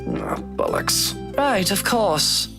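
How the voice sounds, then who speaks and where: A woman voicing a rough, cocky young man in a visual novel, sarcastic and dismissive. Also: on the low side, wildly swooping pitch, slow pace, exaggerated voice. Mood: irritated, mocking. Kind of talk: visual novel character voice, read aloud. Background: guitar music.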